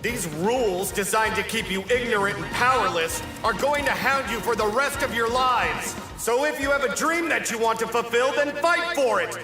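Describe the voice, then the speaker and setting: A man's voice: extremely high pitch, normal speed, few pauses, reverberant, music in the background. An actor playing a young male teacher in an English anime dub, theatrical, sarcastic and defiant. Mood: defiant, rousing. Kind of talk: animated anime dub acting.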